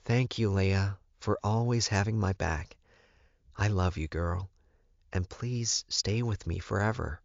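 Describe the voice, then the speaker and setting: A man's voice: on the low side, takes it slowly, gravelly, dry recording, highs cut off. Man voicing an earnest young werewolf heroine. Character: weary, modest young woman, werewolf heroine.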